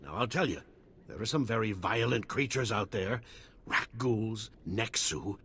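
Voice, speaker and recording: An actor playing a flamboyant, self-amused tech salesman in a video game, pitching theatrically with joking asides and dramatic pauses. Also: expressive, fairly bright tone, a little hiss or hum. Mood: boastful pitching. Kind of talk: video game character acting.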